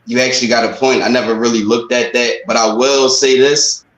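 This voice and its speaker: Male interview guest, thirties. Medium pitch, plain-spoken and slangy, recorded with noticeable room echo. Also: level tone, a little fast, a bit bright. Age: 30s. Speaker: interview guest, music artist. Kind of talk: casual chat. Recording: echoey room sound.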